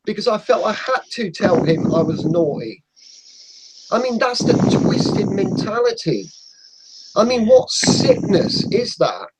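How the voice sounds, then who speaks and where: Emphatic man from Portugal, higher voice. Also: wildly swooping pitch, a little slow, very noisy. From Portugal (Madeira). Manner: emphatic, passionate, says mate. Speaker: guest on an online hangout. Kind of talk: casual group chat.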